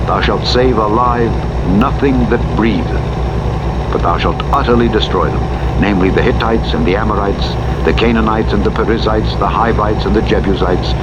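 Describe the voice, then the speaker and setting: A man narrating the Bible in a solemn, formal and measured way, with deliberate phrasing. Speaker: audiobook narrator reading scripture. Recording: muffled radio-like audio under loud truck noise. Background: loud vehicle rumble from a truck driving game.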